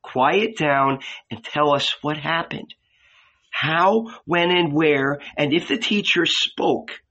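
Earnest storybook disciple, acted by a male reader: anxious, urgent pleading, bright edge. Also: on the high side, slow pace, highs cut off, pitched above the performer's own voice, full character voice.

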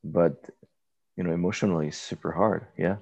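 A man interviewing a guest in a relaxed, encouraging way, prompting him with questions and frequent murmurs of agreement. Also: sits low, normal speed, gravelly, slightly rough. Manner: encouraging, with many backchannel murmurs. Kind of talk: conversational interview.

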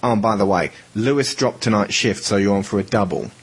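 A man's voice: on the low side, fairly quick, breathy edge. Man as a nagging, irritable little boss. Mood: stern, threatening. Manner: sarcastic, nagging, scolding. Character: short, fat, irritable male boss, scolding and petty.

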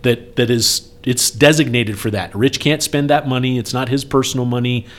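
Male podcast speaker, mid pitch. Earnest, persuasive, conversational appeal with emphatic repetition. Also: fairly even intonation, normal speed, a bit bright. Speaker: speaker appealing for campaign support. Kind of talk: casual podcast talk.

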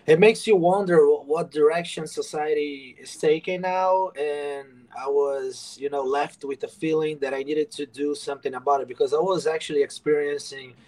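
A man's voice: on the high side, fairly even intonation, moderate pace, hardly any pauses, a little mellow, rough recording. A man from Brazil, a guest author telling his story in an earnest, rambling way full of fillers on a casual podcast.

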